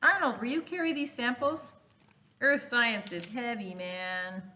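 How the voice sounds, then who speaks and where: An anxious, grumbling schoolchild from a storybook, acted out by a woman reading aloud. The voice is lifted high and bright, with dramatic, complaining exclamations. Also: measured pace, a little hiss or hum.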